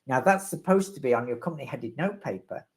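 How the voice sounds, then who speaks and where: A man's voice: medium pitch, expressive, quick pace. A man answering questions as the resident expert in a live webinar, rambling and wry, with self-deprecating humor.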